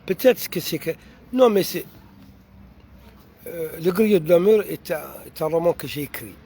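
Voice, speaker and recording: A man's voice: on the high side, dark tone. Man, Mauritanian accent, non-native French, hesitant, many fillers, faint traffic hiss behind. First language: not French. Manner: halting, many fillers and repeated restarts. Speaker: writer answering audience questions. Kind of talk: unscripted answers to online questions.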